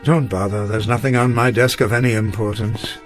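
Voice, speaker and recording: An actor as a wistful old professor, formal and regretful. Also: male voice, fairly low pitch, fairly even intonation, a little fast, music in the background, lightly acted. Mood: despairing regret. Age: older. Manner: formal, literary, reflective speech. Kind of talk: radio drama acting.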